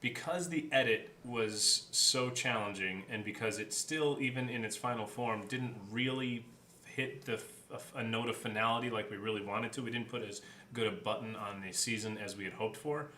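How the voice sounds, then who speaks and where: Bright-voiced low-pitched man, echoey room. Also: moderate pace. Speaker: podcast co-host and editor. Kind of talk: casual behind-the-scenes chat. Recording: roomy echo, clear background noise.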